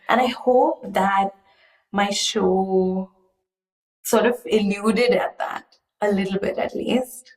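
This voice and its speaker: A woman artist being interviewed, speaking in a thoughtful, measured way and often hedging. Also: medium pitch, echoey room, rough recording. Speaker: artist guest. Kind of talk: reflective interview conversation.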